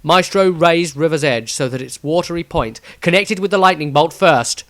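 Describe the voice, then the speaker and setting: A man's voice: fairly high pitch, normal speed, a bit bright, dry recording, studio quality. A man reading his own fantasy story as a scripted, dramatic audiobook narration.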